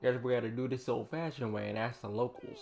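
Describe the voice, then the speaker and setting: A man's voice: mid-range voice, fast talker, gravelly. Joking man streaming a game. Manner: jokey, chuckles often, talks back to game characters. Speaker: gaming streamer. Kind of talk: casual commentary while playing. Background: game music.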